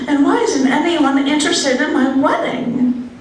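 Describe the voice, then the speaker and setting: A woman's voice: high-pitched, unhurried, distant mic, voice pushed up. A woman voicing a stressed, demanding bride who frets insistently about her wedding during a live poetry reading. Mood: exasperated complaining. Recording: echoey, noisy live room recording.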